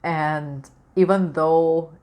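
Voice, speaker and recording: A woman with a deep voice and a Canadian accent carrying a slight British-Australian undertone, talking casually about spiritual topics with many fillers and restarts. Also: fairly even intonation, very slow, darkish tone. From born outside Canada, raised in Canada. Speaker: spiritual vlogger. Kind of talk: casual spiritual talk to camera.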